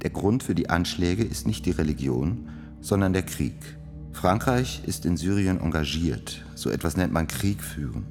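A grave courtroom voice in a radio play, very deep and firmly declarative. It rings in a long hall reverb, with clear music behind it. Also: male voice, animated, moderate pace. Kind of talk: formal scripted radio drama delivery.

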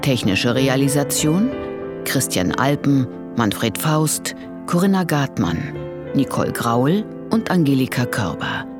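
Very deep-voiced, slow woman announcer. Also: very animated, music in the background. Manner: measured, neutral. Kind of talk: scripted radio credits announcement.

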